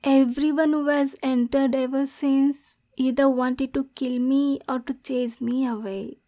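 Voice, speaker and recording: A woman playing a lonely, lovesick demon girl in an intimate ASMR roleplay, softly spoken and breathy, nervous yet possessive. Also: high-pitched, little pitch movement, a little slow. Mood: wounded, sad.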